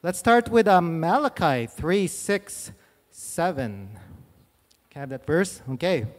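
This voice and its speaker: A middle-aged Filipino pastor teaches from the stage, his voice pitched notably high for a man. He explains scripture in a conversational way, adding quick asides. His handheld mic carries a long reverb through the hall. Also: sing-song. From Philippines. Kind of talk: sermon teaching. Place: large church stage.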